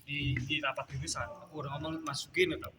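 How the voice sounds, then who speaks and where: A man from a theatre department talks fast and informally on a panel, in a chatty way. His voice is somewhat high for a man. Clear room noise hums behind him. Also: level tone. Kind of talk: casual panel discussion. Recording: noisy room ambience.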